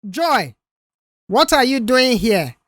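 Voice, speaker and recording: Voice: mid-range voice, very animated, slow pace, a bit bright, no echo, studio quality, pitched above the performer's own voice. A narrator voicing an angry, indignant male boss in an audiobook story. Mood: indignant anger.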